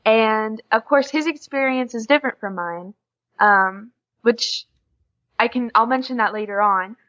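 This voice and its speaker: A woman's voice: on the high side, unhurried, a bit bright. An eighteen-year-old woman from Oklahoma with an American accent, giving casual answers as an interview guest. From Oklahoma, United States. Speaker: interview guest, exchange student. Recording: dull lo-fi podcast audio.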